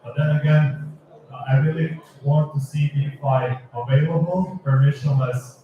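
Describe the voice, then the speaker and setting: A man's voice: fairly high pitch, steady tone, measured pace, recorded from a distance. Man in a very echoey, dull-sounding hall. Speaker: panelist. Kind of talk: unscripted panel discussion. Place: event hall panel with audience.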